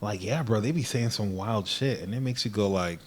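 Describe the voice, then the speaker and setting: A man's voice: on the low side, moderate pace, husky. A man in casual podcast conversation who talks animatedly and acts out what other people said.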